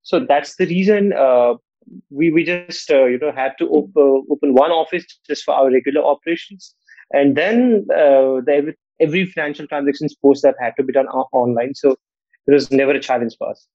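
A man from Nepal, a podcast guest who speaks in a measured way and often tags his sentences with "right?". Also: mid-range voice, no echo. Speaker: guest, fintech co-founder. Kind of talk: podcast interview. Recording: dull, dry lo-fi call audio.

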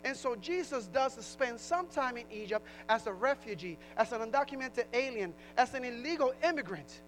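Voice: medium pitch, lively intonation, normal speed, fairly bright tone, slight background noise, low-quality recording. A pastor from Puerto Rico with a New Jersey accent, preaching a sermon in a conversational way, often restarting phrases and rising into emphasis.

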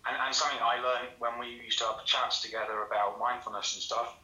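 A man speaking as a podcast guest over a call, relaxed and reflective, with frequent fillers. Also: mid-range voice, fairly quick, echoey room, poor audio. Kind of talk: casual interview chat. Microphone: remote call recording.

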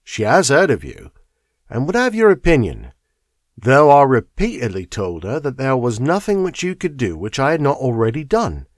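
A self-assured police inspector talks briskly and indulgently, close to the teller's own voice, as a male storyteller does him. His pitch moves a great deal and the sound is dry and close. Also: huge pitch swings, no echo. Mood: confident.

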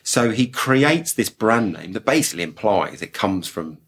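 Male co-host, low voice, measured expert explainer, husky. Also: very animated, a bit bright. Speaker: podcast co-host, behavioral science expert. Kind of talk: explanatory podcast chat.

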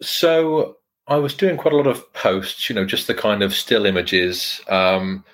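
A man who is a mortgage broker, a guest in a casual interview, explaining himself in a modest, measured way with fillers. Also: fairly low pitch, lively intonation, fairly bright tone.

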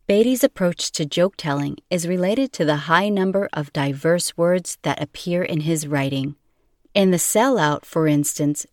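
A woman's voice: sits low, a little mellow, no echo, studio quality. A woman reading scripted narration as the narrator of a podcast.

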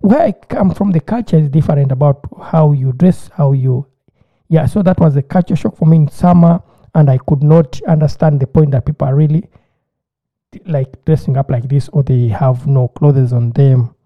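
A man, chatting casually as a podcast co-host and telling stories in an animated way, often repeating words. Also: a little high, moderate pace. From Uganda. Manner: animated storyteller, repeats words, acts out his own reactions. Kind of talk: casual chat. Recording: tinny phone-like line.

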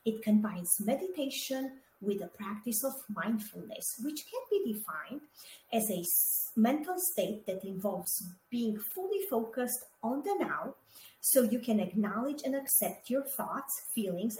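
A woman's voice: fairly high pitch, big pitch swings, measured pace. A middle-aged woman from Romania, co-hosting a podcast in a chatty, casual way, with many fillers and asides to her listeners. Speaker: podcast co-host. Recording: echoey room with faint background noise.

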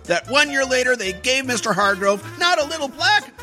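Slangy teenage schoolboy prankster, bright wheedly voice, super animated, old radio comedy. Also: high-pitched, fairly quick, soft background music. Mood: scheming. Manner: slangy, theatrical, exclaiming.

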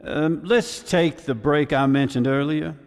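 The polite chair of a hearing in an audio drama. His rather high voice is measured and formal, carried on long hall reverb. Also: a little mellow. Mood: formal, procedural. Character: polite, procedural male senator chairing a hearing.

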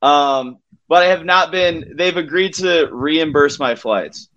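Male comedian guest, somewhat high voice, loose conversational storytelling, halting and self-interrupting. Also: a bit bright, dull audio.

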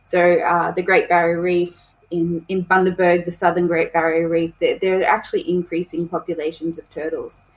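A woman's voice: fairly low pitch, flat delivery, normal speed, rough recording. A woman, a conservation project coordinator, talking chattily as a podcast guest with frequent ums and restarts. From New South Wales, Australia. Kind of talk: casual podcast interview.

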